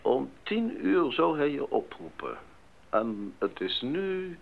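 An actor playing a decisive, concerned mission doctor in a Dutch radio drama. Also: male voice, mid-range voice, sing-song, slow, heavily acted. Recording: dull recording with clear background noise.

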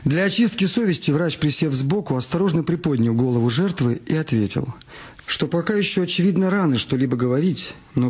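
A man's voice: a little high, a little mellow, husky. A man reading a detective story as the narrator of a scripted radio production. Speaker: narrator of an audio drama. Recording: music under the voice. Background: background music.

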